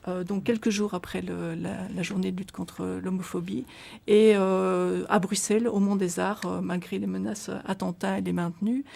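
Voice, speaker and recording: A woman's voice: mid-range voice, little pitch movement, moderate pace, creaky, warm tone. A middle-aged woman, guest on a radio interview, explaining at length with many hesitations and restarts. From Belgium. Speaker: association volunteer guest.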